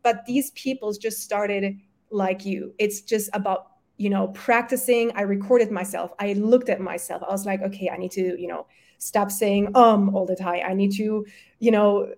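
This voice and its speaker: Woman, German and Polish native, middle pitch; earnest motivational coach talk, frequent quick restarts. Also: fairly even intonation, darkish tone. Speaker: guest, women's health coach. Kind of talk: casual podcast conversation.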